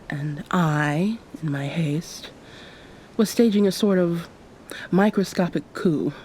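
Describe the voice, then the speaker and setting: A woman playing an affectionate, teasing yet commanding succubus pirate captain in an intimate ASMR roleplay, softly spoken and often near a whisper, with formal old-fashioned phrasing. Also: on the low side, a little hiss or hum, natural voice.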